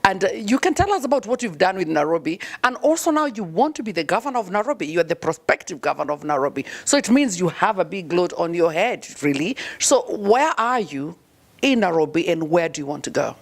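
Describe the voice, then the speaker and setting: A woman's voice: on the low side, big pitch swings, brisk. A woman hosting an interview, asking direct, probing questions. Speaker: interviewer, host.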